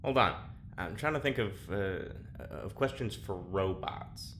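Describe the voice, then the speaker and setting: A man's voice: on the low side, expressive, unhurried, fairly bright tone, slight background noise. A man acting in a naturalistic audio drama as a nervous, hesitant rambler with a wry streak. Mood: hesitant, curious.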